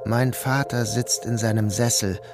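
A man's voice: mid-range voice, steady tone, unhurried, music in the background, lightly acted. A man acting a troubled, bewildered son who narrates in a literary first-person radio drama. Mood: dreamlike, brooding. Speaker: narrator and protagonist.

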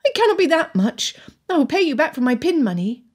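A woman's voice: high-pitched, very animated, a little fast, pitched above the performer's own voice. A woman voicing a headstrong, impulsive young girl of genteel birth, earnest and insistent, in a storybook reading. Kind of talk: dramatised audiobook dialogue.

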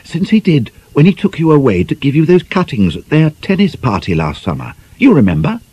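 A man's voice: on the high side, huge pitch swings, fairly quick, dark tone, breathy edge, voice pushed up, full character voice. A man voicing a mischievous nineteen-year-old young woman who needles her friend with gleeful mockery. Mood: teasing.

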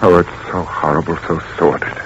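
An actor playing a grieving, tormented widower in a 1940s radio drama, pleading and anguished. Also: male voice, low voice, little pitch movement, brisk, close to an everyday voice. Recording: dull old radio recording with faint noise.

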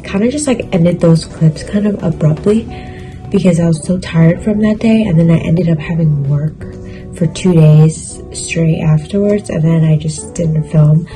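A woman's voice: low-pitched, unhurried, soft background music. A young woman vlogging at home, chatting casually and tiredly to a phone camera with lots of fillers. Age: young adult. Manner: relaxed, chatty, filler-heavy with "like" and "kinda". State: low energy, tired. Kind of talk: casual vlog chat to camera. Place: bedroom, indoors, small. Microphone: phone camera at arm's length.

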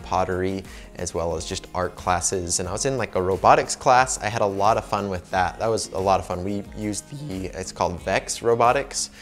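A man in his twenties with an American accent, answering fan questions to camera in an informal, conversational way. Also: fairly low pitch. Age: 20s. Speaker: host, ring maker. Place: indoor workshop with wooden wall.

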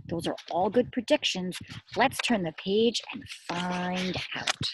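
A middle-aged woman reading a picture book aloud to young children as their teacher, expressive, asking questions and pausing for answers. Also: lowish voice, background noise. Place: outdoors among trees and shrubs.

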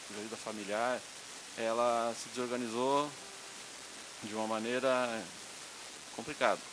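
A man in the audience asks a question and searches for his words as he goes. His voice sits at a middle pitch. Clear hiss runs under the recording. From Brazil. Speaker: audience member asking a question. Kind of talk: question from the floor.

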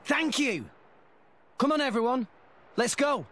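A man's voice: very high voice, expressive, unhurried, exaggerated voice. A man playing an earnest, upbeat teenage boy adventurer in a video game. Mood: cheerful. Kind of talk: video game character acting. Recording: loud game score behind voice. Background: loud music.

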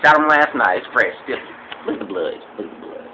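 Man doing a boastful broke hunter, deadpan rambling brags, lively swooping voice, noisy home video. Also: mid-range voice, fast. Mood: bragging. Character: boastful broke rural male hunter showing off trophies. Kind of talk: home comedy sketch.

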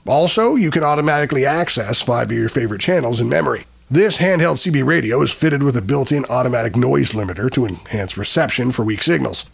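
Husky male product review narrator. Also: medium pitch. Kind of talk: scripted narration.

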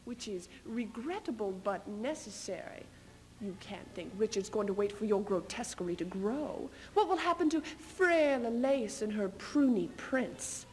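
A woman playing a proud, scheming medieval queen in a theatrical stage reading, taunting her husband with measured, biting speech. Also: mid-range voice, lively intonation, a little mellow, natural voice, rough recording. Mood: cool, taunting. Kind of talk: theatrical readers theater duo performance. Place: stage in front of curtain.

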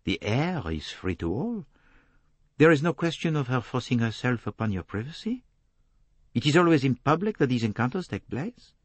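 A man voicing a calm, shrewd, courteous foreign detective, speaking formally and deliberately in an audiobook. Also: medium pitch, no echo, pitched above the performer's own voice. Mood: measured. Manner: placid, detached, formal, deliberate phrasing.

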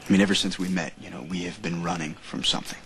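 Soap drama: guarded young drifter, very low and gravelly, TV audio with noise. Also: male voice, fairly even intonation, fast. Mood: guarded tender. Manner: guarded, quiet and earnest. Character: brooding young man with a troubled past. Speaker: actor in a daytime soap.

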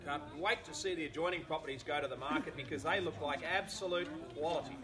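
Australian man, middle-aged auctioneer, high projected voice, repetitive bid patter, outdoor recording with audible crowd. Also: fast. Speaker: real estate auctioneer. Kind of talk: live property auction calling with patter. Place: backyard of a house, outdoors. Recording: outdoor crowd sound, filmed from several metres. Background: crowd of onlookers.

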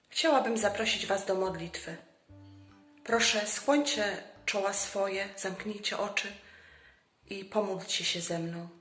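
A middle-aged woman addressing children and leading prayer in measured, devotional phrasing, as a presenter of a children's Bible programme. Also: mid-range voice, a bit bright, reverberant. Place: indoors, small room with plain wall.

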